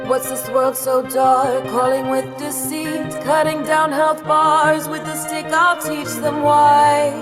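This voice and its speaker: A woman's voice: high voice, level tone, slow, hardly any pauses. A woman singing song vocals as a lead singer. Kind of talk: song vocals over electronic music. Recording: loud electronic music over voice. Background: loud electronic music.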